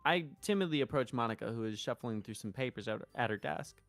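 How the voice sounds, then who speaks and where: A woman streamer talks casually and reads game text aloud with a very animated, swooping delivery. She is expressive and free with swearing, and soft music plays underneath. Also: very deep voice, fast, no echo. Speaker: streamer reading game lines and narration. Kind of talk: casual gameplay stream with read-aloud dialogue.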